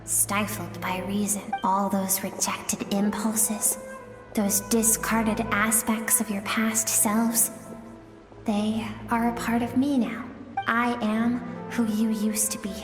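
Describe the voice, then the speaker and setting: A woman playing a young woman's gentle emotional inner self in a video game, speaking softly and earnestly with heartfelt pleading. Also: mid-range voice, little pitch movement, slow pace, close to an everyday voice. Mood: earnest, solemn. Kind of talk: scripted video game voice acting. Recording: echoey long reverb, music behind.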